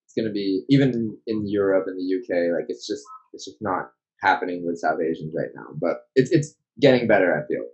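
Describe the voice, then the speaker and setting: A man's voice: low voice, animated, fairly quick, a little mellow. A man hosting an interview, asking long rambling questions and agreeing briefly. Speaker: interview host. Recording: dull lo-fi sound.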